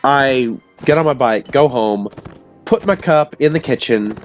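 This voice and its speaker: A man with a hoarse voice from illness, telling rambling stories casually to his audience. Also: medium pitch, measured pace, faint music behind. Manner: meandering, self-interrupting, chatty. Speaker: vlogger.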